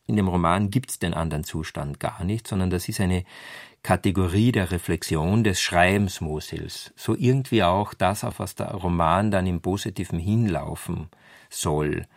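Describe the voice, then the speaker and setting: Low-voiced man explaining, dry sound. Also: moderate pace, gravelly, dry recording. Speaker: literary scholar commentator. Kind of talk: unscripted explanatory commentary.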